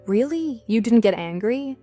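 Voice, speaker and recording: A woman's voice: on the high side, expressive, normal speed, mellow, natural voice. A woman playing a loyal, easily exasperated wife in a scripted online radio drama. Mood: relieved, agreeable. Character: exasperated, loyal wife, indignant but trusting. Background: background music.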